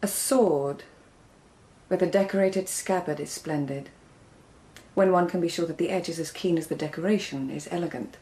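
Low, creaky, poised Japanese court lady, played by an actress. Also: dark tone, natural voice. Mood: composed. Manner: poised, measured, deferential. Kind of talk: broadcast radio drama.

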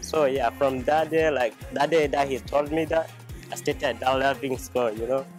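A man's voice: mid-range voice, steady tone, normal speed. A young man from Uganda looks back on school in an easygoing, plain way. He is recorded outdoors next to a house with faint music behind him. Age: young adult. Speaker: high school graduate. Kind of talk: casual testimonial.

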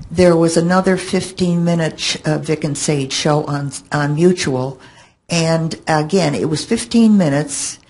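An older woman answering questions on a panel, haltingly, thinking aloud, into a table microphone in a conference room. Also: on the low side, darkish tone, husky, rough recording. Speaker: panelist and longtime fan. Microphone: table microphone on stand.

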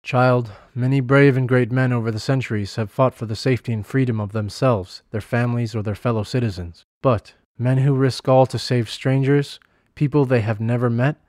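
A man playing a remorseful former soldier who speaks gravely and slowly. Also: medium pitch, fairly even intonation, darkish tone, dry recording, clean studio sound, lightly acted. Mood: solemn. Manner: grave and slow.

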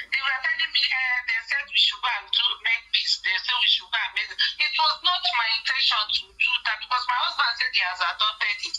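A woman's voice: fairly high pitch, bright, forceful tone. A Nigerian woman being interviewed over a tinny phone call, speaking in an emphatic, aggrieved, repetitive way.

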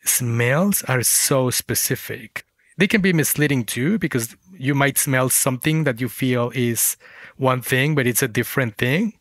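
A man's voice: mid-range voice, fairly bright tone, dry recording. Man reflecting in a dry, close interview. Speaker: guest, fiction writer.

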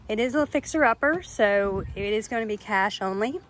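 A woman's voice: mid-range voice, animated, moderate pace. Woman giving a home tour, noisy recording. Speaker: real estate agent giving a property tour. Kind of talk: informal walk-through tour narration. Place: walking through a house and its yard.

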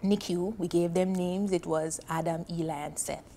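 A woman's voice: fairly low pitch, moderate pace, creaky, a little hiss or hum. A woman in her thirties with a Jamaican accent, a guest on a TV panel, telling a personal story earnestly and with feeling, on a lapel mic in a studio. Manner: earnest, emotional, animated with hand gestures. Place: indoor TV studio set on a sofa.

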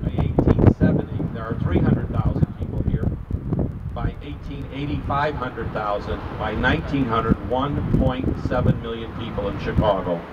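A man's voice: medium pitch, moderate pace. An older, retired man giving live architecture tour commentary on an open boat, telling it like a storyteller with dry humor. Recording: distant muffled mic, loud wind and engine noise. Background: loud wind, outdoor city and boat noise.

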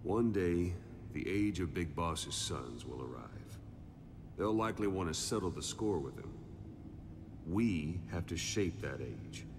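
Cryptic veteran military schemer: deep, measured, grave game-drama delivery in a reverberant, noisy setting. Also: male voice, lively intonation. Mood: grave, calculating. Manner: measured, grave, deliberate pauses. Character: calculating older male soldier, cryptic strategist, calmly resolute. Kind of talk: dramatic game cutscene dialogue. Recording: long reverb, clear background noise.

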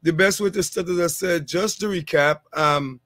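Island-raised man in his thirties, vlog host, easygoing and rambling, fairly high voice, dry close headset sound. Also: moderate pace, no echo. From a small island. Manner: relaxed, rambling, many fillers. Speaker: channel host, HVAC technician. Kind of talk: casual advice vlog.

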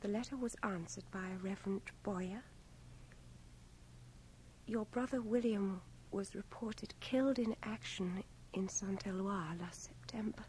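An actress playing a tender, teasing young English volunteer nurse in a radio drama, poised and brisk. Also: female voice, mid-range voice, darkish tone, a little hiss or hum, lightly acted, low-quality recording. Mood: gentle, sorrowful. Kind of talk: 1980 radio drama acting.

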